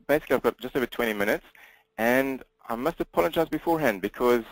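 A South African man presents to an online audience at a rapid clip. His words run together slightly and he often restarts a phrase. The sound is dry and close, with no room around it. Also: medium pitch, expressive, no echo, poor audio. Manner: fast, slightly mumbled delivery with frequent restarts. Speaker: guest presenter, business coach. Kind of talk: webinar presentation.

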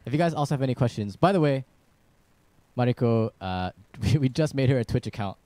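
A young man hosting a music livestream, chatting to viewers and his co-host with quick asides and restarts. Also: medium pitch, animated, warm tone, no room sound. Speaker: violinist and stream host. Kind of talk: casual livestream chat. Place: home music studio room. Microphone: studio mic on a stand.

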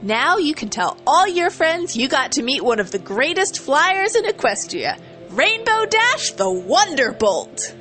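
A woman's voice: high-pitched, big pitch swings, a little slow, bright, forceful tone, voice pushed up, exaggerated voice. Brash young athletic pony mare , played by a woman: cocky, casual, kind underneath, cartoon storybook delivery over music. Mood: boastful, excited.

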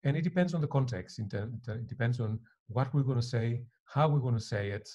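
Mellow, husky middle-aged man, native Greek speaker. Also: mid-range voice, brisk, highs cut off. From Greece. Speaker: university professor being interviewed. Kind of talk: reflective interview answer. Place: attic living room at home.

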